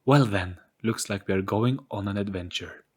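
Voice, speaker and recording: A man's voice: lowish voice, moderate pace, lightly acted. Man playing a blunt, bold young girl. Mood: cheerful, confident. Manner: blunt, dramatic. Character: bold young girl, cheerful with spooky turns.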